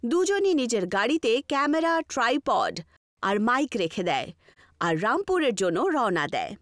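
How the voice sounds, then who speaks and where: High-pitched woman narrating a horror story. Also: sing-song, unhurried, fairly bright tone, no echo, clean studio sound.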